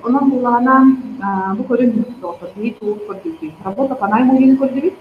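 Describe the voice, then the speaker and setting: A woman, persuasively pitching network marketing straight to her viewers. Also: fairly quick, a little hiss or hum. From Yakutia. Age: 30s. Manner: persuasive, addresses viewers directly. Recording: roomy, slightly dull recording.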